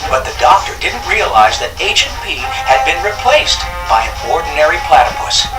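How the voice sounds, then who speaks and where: Animated male storybook narrator over music. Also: fairly high pitch, moderate pace, roomy sound, far from the mic, rough recording. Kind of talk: scripted children's storybook narration.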